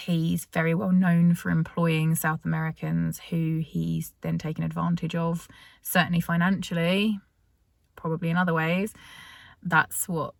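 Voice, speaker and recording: A British woman talks straight to her viewers in a relaxed, rambling way, stopping and restarting her sentences often. Her voice sits a little low. The sound is dry and close, with no room around it. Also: vocal fry, dry recording. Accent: British English. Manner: chatty, many fillers and restarts. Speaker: vlogger. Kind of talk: casual storytelling to camera.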